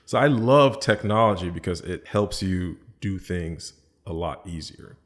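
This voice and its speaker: A man's voice: low-pitched, lively intonation, a little slow. A man with an American accent, a podcast guest in casual chat, easygoing and rambling with many fillers and restarts. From born in the Bahamas, raised in the US.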